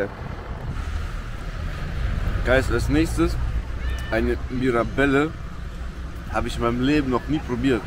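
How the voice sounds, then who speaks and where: A young man filming himself at arm's length outdoors by a beach, chatting excitedly and in slang to his viewers as a travel vlogger. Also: mid-range voice, noisy background. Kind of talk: casual travel vlog. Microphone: handheld camera at arm's length.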